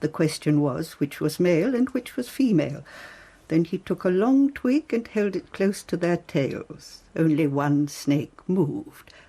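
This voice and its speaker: Creaky, mellow-voiced lady-in-waiting. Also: lowish voice, animated, a little slow, exaggerated voice. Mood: guarded. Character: devoted, anxious lady-in-waiting to an Empress. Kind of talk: radio drama acting.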